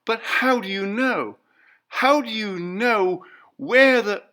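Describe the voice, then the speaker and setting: An anxious, loving woman, played by a man reading aloud, pleads in a voice pitched high and urgent. She is tender and emotional, a character in a classic ghost story audiobook. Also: slow, sharp tone, heavily acted. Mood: desperate disbelief.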